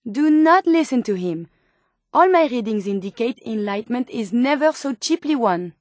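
A woman's voice: a little high, animated, normal speed, darkish tone, no room sound, clean studio sound, close to an everyday voice. A woman playing an earnest, polite female robot scientist in a video game, with a French accent and formal, precise wording. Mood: disapproving. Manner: formal, precise, carefully worded. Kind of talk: video game character dialogue.

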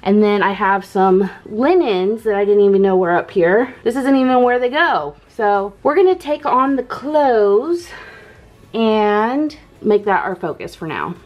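A woman's voice: mid-range voice, lively intonation, measured pace, dull audio. A woman in her thirties, a vlogger chatting upbeat and straight to her viewers while decluttering at home. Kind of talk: decluttering vlog voice-over. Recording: music playing underneath.

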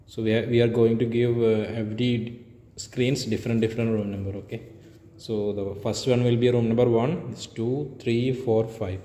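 A man giving an unscripted step-by-step technical tutorial, speaking in halting, repetitive instructional phrases. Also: mid-range voice, fairly even intonation, mellow. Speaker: tutorial presenter. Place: indoor room, reverberant, faint noise behind. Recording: echoey reverberant room, background noise.

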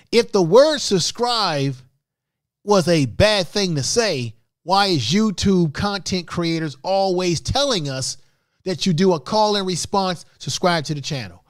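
A man's voice: high voice, no room sound, studio quality. A middle-aged man hosting a live-stream tutorial, talking to his chat room in an emphatic, repetitive, instructive way. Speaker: livestream host, YouTube creator. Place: small home studio room indoors. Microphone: large microphone close in front of mouth.

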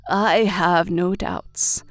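A woman playing a calm, knowing seer in a fantasy audio drama. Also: fairly low pitch, drawn-out words, no room sound.

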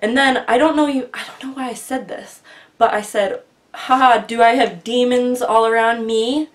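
A woman in her twenties earnestly telling a long personal story in casual, rambling vlog style, her voice often dropping quieter, recorded on a webcam in a small bedroom. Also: a little high, fairly even intonation, normal speed. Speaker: vlogger. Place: small bedroom with bookshelf, indoors. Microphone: webcam at desk distance.

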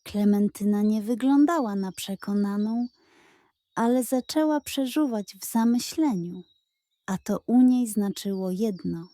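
A woman narrating a children's story in an expressive, scripted storytelling style. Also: medium pitch, a little slow, dry recording.

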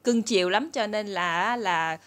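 A woman's voice: mid-range voice, unhurried, a bit bright. A middle-aged woman with a southern Vietnamese accent, telling stories casually and chattily to her followers. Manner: chatty, conversational, addressing viewers as family. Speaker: channel host and storyteller. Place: small room indoors.

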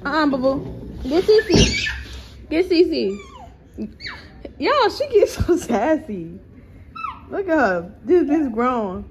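A woman's voice: high-pitched, sing-song, slow, long pauses, slight background noise. A young woman, chatting playfully to viewers and a toddler in a casual family vlog, filmed on a handheld camera indoors. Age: 20s. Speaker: vlogger. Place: rented apartment kitchen, indoors. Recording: music playing over the voice.

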